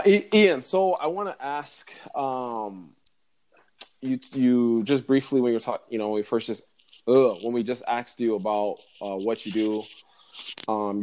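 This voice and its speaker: A man's voice: mid-range voice, mellow, poor audio. A man co-hosting a podcast, asking questions in a joking, playful way. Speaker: podcast co-host. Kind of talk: casual interview chat.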